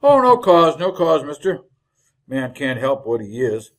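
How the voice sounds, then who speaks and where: An actor playing a garrulous, folksy wagon driver in a radio western, with an American Western accent and colorful rustic slang in a husky voice. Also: male voice, on the high side, big pitch swings, a little slow. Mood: chatty, amused. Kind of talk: old-time radio western acting.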